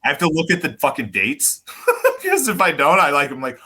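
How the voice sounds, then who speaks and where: A man guest on a podcast, talking loud and casual, swearing often and rambling as he goes. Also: fairly high pitch, wildly swooping pitch, moderate pace, husky. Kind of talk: casual chat.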